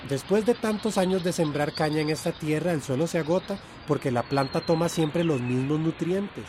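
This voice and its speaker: A man's voice: a little high, normal speed, background noise, natural voice. A male actor playing an earnest, patient young farming adviser in a radio drama. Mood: explaining. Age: young adult.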